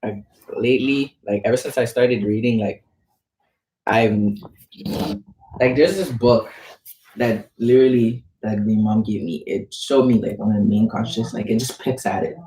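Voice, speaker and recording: A man's voice: lowish voice, steady tone, moderate pace, mellow, distant mic. A young man of about eighteen from the Bahamas, talking casually and searchingly about religion with lots of filler words. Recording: echoey, off-mic, faint noise.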